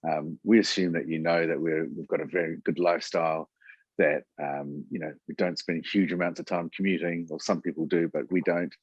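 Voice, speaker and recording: A man hosting an online webinar, talking in a relaxed way with many fillers and restarts. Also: sits low, brisk, husky, dry recording, highs cut off. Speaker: webinar host. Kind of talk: webinar presentation. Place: Auckland.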